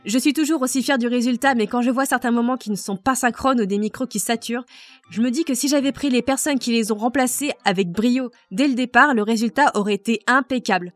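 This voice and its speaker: A woman's voice: on the high side, brisk. A woman answering fan questions about a project in a frank, wry manner. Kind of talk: casual Q&A answers.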